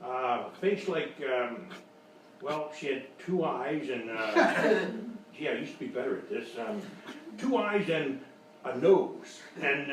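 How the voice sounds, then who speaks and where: An actor playing a boastful, bumbling private eye, narrating in blustering deadpan in a parody of old radio detective drama. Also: male voice, mid-range voice, lightly acted. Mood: wry, flustered. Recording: very echoey, distant, noisy live stage recording.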